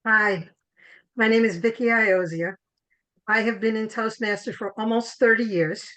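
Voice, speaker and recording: A woman panelist introducing herself in a slow, measured way. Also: on the high side, fairly even intonation, a bit bright, poor audio.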